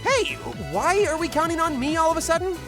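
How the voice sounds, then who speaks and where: A woman's voice: extremely high pitch, fairly quick. A woman playing a vain, self-important celebrity character in a visual novel game. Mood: vain, showy. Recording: loud music over the voice.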